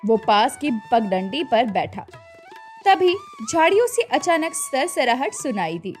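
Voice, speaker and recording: A woman narrating a scripted children's cartoon story in a slow, expressive way. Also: high voice, soft background music.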